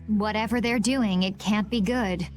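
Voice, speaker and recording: A woman's voice: mid-range voice, unhurried, darkish tone, natural voice. A woman playing an earnest, polite and caring young heroine in a video game. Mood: earnest, concerned. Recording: music under the voice.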